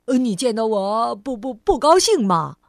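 A woman's voice: mid-range voice, takes it slowly, no room sound, studio quality. A woman voicing a clumsy, cheerful grown man who stutters and repeats his words, in a children's storybook reading. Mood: bumbling. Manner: stammering, hesitant, repeating words.

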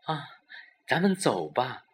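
A man's voice: mid-range voice, very animated, slow, pitched above the performer's own voice. A man voicing a calculating, cold-blooded bride in a suspense audio drama. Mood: urgent, scheming. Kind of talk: suspense radio drama acting.

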